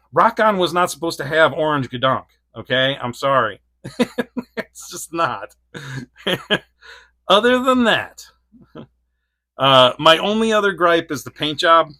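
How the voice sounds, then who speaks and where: A man's voice: on the high side, expressive, takes it slowly, pauses often, pushed and bright, no echo, clean studio sound. A man in his forties reviewing an action figure in a casual, rambling way, laughing often between thoughts. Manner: rambling, giggly, lots of fillers. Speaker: toy reviewer.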